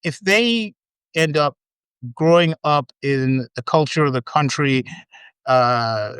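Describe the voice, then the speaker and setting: A man born in Trinidad with an American accent, a podcast guest chatting casually in a halting, wry way full of restarts. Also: on the high side, a bit bright, clean tone, no room sound. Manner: halting, many restarts and fillers, wry humor. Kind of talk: casual chat.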